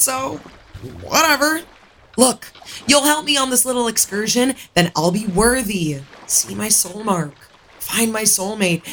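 A woman's voice: on the high side, a bit bright, a little hiss or hum. A woman playing a flippant, entitled young princess in an audio drama, with a breezy, sarcastic, casual delivery.

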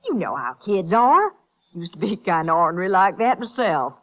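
A woman's voice: lowish voice, very animated, moderate pace, a bit bright. An actress playing an anxious, folksy rural mother with a Southern American accent in a 1950s radio western, hesitant and protective. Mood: anxious, defensive. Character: anxious, folksy rural Texas mother defending her son. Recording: dull, lo-fi 1950s radio recording.

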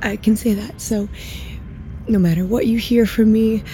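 An actress playing a troubled, self-blaming woman with a tender heart, speaking haltingly in a naturalistic audio drama. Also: medium pitch, fairly even intonation, dark tone, slight background noise, natural voice. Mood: tender, fragile. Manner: halting, faltering, self-correcting.

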